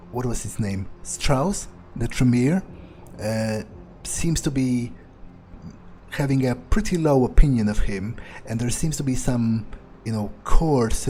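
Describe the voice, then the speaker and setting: A man's voice: mid-range voice, mellow, a little hiss or hum, lightly acted. A man role-playing a reserved fledgling vampire in a video game playthrough, speaking casually and hesitantly as he muses. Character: reserved, wary male fledgling vampire, thinking aloud.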